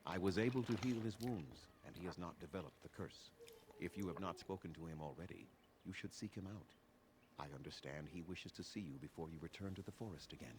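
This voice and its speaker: A man playing a grave, proud, ancient elven clan leader in a video game, speaking in a measured, formal way. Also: low-pitched, expressive, noisy background.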